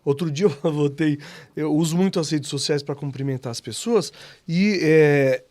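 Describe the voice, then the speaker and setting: A man's voice: fairly high pitch, moderate pace, darkish tone. A man hosting a podcast, chatty and opinionated, stuffed up from a cold. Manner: chatty, opinionated, jokey asides. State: congested with a cold. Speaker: podcast host. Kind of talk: casual talk show chat.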